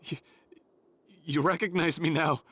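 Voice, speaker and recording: An actor playing a desperate, distraught son in a video game, pleading in a husky voice. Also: male voice, on the high side, fairly even intonation, measured pace, fairly bright tone. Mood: shocked, pleading.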